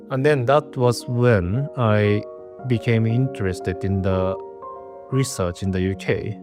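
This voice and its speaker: A man's voice: lowish voice, a little mellow. A middle-aged man from Japan with a Japanese accent, a researcher giving earnest, carefully prepared interview answers with frequent restarts, sounding a little nervous.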